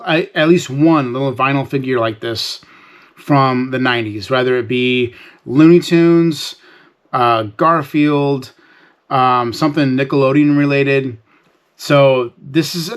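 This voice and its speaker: A man with a mid-pitched voice speaks casually and off the cuff as the host of a reseller vlog. He explains things conversationally, with folksy turns of phrase. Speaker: reseller hosting a sales recap vlog. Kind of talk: casual unscripted talk to viewers.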